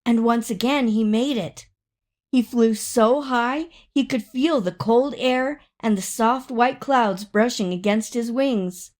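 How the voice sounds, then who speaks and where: A woman narrates a children's picture book in a fairly high voice. She takes it very slowly, stretching key words with expressive, theatrical emphasis. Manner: dramatic, with drawn-out emphasis and acted sound words. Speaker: storybook reader.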